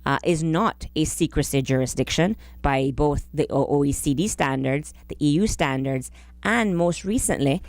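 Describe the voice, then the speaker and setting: A woman's voice: deep voice, darkish tone, no echo. A woman, speaking formally and carefully as a political guest, close on a studio microphone. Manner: formal, careful, halting phrasing. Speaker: government minister, studio guest. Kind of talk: political radio interview. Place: small radio studio. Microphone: close broadcast microphone on boom arm.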